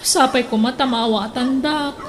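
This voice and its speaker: A woman's voice: high voice, normal speed, slight background noise, lightly acted. A woman with an Ilocano accent playing an exasperated young wife in a radio drama, with a complaining, pleading tone.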